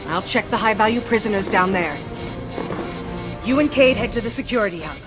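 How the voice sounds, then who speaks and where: An actress voicing a brisk, resolute female field commander in a video game, businesslike and commanding. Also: mid-range voice, animated, music in the background, lightly acted.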